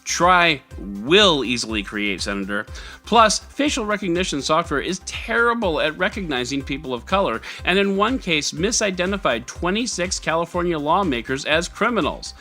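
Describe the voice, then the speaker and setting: A man's voice: on the high side, very animated, normal speed, sharp tone, soft background music. A man hosting a scripted tech news podcast in a conversational, sarcastic way with wry asides. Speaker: podcast host.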